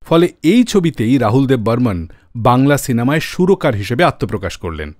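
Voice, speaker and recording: A man hosting a radio show, telling stories in a scripted narration with dramatic phrasing and deliberate pauses. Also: mid-range voice, very animated, darkish tone, no echo, clean studio sound.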